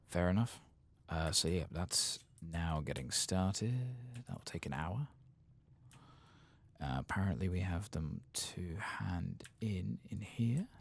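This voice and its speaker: A man softly murmuring low-key ASMR game commentary to himself, breathy and gravelly, often slipping into a whisper. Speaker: streamer playing a video game.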